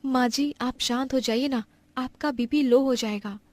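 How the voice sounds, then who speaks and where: A woman voicing a gentle, dutiful young daughter-in-law who speaks politely and soothingly in a cartoon story. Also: sits high, unhurried, no room sound, pitched above the performer's own voice.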